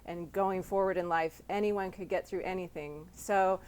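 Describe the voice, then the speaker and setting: A woman's voice: lowish voice, fairly even intonation, normal speed, darkish tone, clear, resonant tone. A woman hosting a sit-down interview, speaking in an effusive, complimentary and empathetic way. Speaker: interviewer and host.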